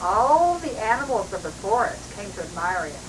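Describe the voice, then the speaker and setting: A woman's voice: medium pitch, very animated, moderate pace. An older woman, a grandmother, reading a storybook aloud to children on a noisy old home recording. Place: at a family Christmas party.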